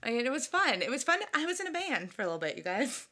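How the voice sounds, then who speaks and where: Giggly, bright-voiced woman podcast co-host. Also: a little high, sing-song, fast. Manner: giggly, chatty, restarts a lot. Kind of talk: casual chat.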